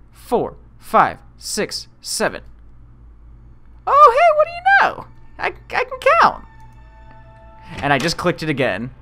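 A man doing casual, self-deprecating let's play commentary in an excitable manner. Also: a little high. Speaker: video game let's player.

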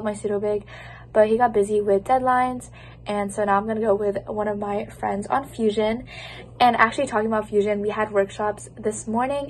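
A bubbly young woman chats into a phone held at arm's length, her voice a little high. She giggles often as she talks, and a faint hiss sits behind her. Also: darkish tone. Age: young adult. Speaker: student vlogger. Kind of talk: casual vlog chat to camera. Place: college dorm room, indoors.

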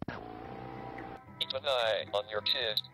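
A man playing an ominously polite announcer, reading a horror holiday guide in a measured, formal way. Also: fairly low pitch, pushed and bright, full character voice. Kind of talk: slow instructional horror narration. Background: clear music.

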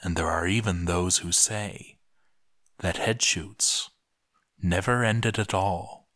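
Slow, solemn male storyteller, lowish voice. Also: fairly bright tone, no echo, clean studio sound. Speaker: narrator. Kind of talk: dramatic scripted narration.

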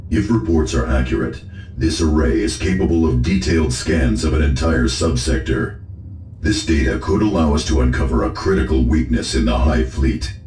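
Very deep, echoing tech-priest soldier. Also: male voice, animated, normal speed, darkish tone, slight background noise. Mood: matter-of-fact. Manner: methodical, matter-of-fact. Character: solemn, methodical male space marine tech-priest. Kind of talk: scripted game mission briefing. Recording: echoing voice with faint music behind.

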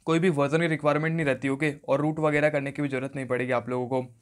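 A man's voice: medium pitch, flat delivery, fast. A man giving an instructional phone tutorial voice-over, often repeating stock phrases. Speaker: tech tutorial host.

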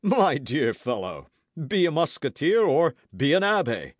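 A man voicing a boastful, loud musketeer in an audiobook, theatrical and full of exclamations. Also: a little high, big pitch swings, a little slow, no echo, studio quality, voice pushed up. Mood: indignant, scolding. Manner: theatrical, exclamatory, swooping emphasis. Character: boisterous, boastful swaggering musketeer, loud and self-satisfied.

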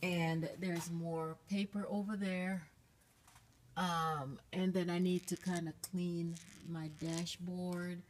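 A woman's voice: fairly low pitch, darkish tone, slight background noise. A woman in her thirties chatting casually into a phone held at arm's length inside her car, with plenty of ums and restarts. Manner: unhurried, chatty, many fillers and restarts. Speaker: vlogger. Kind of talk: casual vlog chat. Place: inside a car, then outdoors beside it.

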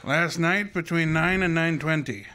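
A man acts out a celebrated radio detective, pompous and dryly witty. His voice sits a little high and has a bright, forward edge. He chooses formal words and delivers them with measured self-importance. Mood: coolly probing. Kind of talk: old-time radio detective drama acting.

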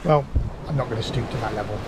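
A man's voice: medium pitch, fast. A man in his fifties, a sailing vlogger from the UK, talking to a camera held at arm's length in the cockpit of a yacht out at sea, with dry, self-mocking humour. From United Kingdom. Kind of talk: casual vlog narration and to-camera chat. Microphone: action camera at arm's length. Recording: outdoor camera audio with clear wind noise. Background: wind and water noise.

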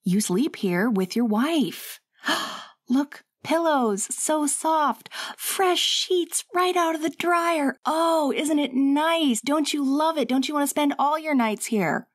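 A woman's voice: high voice, takes it slowly, no echo, studio quality. A woman playing a bossy, sassy but loving wife in an intimate ASMR audio roleplay, talking in a conversational, scolding and coaxing way. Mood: teasing, coaxing.

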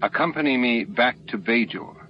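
A man's voice: mid-range voice, normal speed, natural voice. Man playing a composed, diplomatic station commander. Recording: dull lo-fi audio, faint noise.

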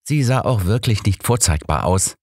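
A man voicing a lively, outspoken younger woman in an audiobook, with an animated, friendly manner. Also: lowish voice, moderate pace, no echo, clean studio sound. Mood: sheepish. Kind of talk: audiobook character voice.

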